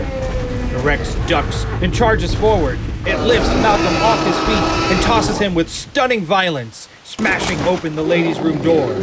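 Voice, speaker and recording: A man giving a scripted, measured, dramatic narration of action scenes in the present tense. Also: on the high side.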